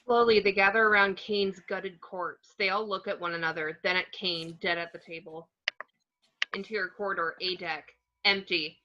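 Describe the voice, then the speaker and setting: A woman's voice: mid-range voice, fairly even intonation, moderate pace, bright, forceful tone, a little hiss or hum. A woman narrating screenplay action descriptions in an amateur table read, on muffled lo-fi audio.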